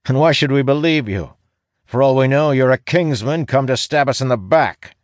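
A man voicing a blustering, suspicious guard in a fantasy audiobook, with a gruff, challenging manner. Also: mid-range voice, moderate pace, no echo, studio quality, pitched above the performer's own voice, lightly acted. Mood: hostile, suspicious. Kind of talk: audiobook fantasy character acting.

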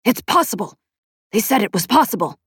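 Audiobook role: insistent male inventor, late fifties, stiff odd accent, halting delivery female narrator performing. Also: medium pitch, sing-song, slightly rough, no echo, studio quality. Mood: urgent, pleading. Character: emotional man in his late fifties, searching inventor.

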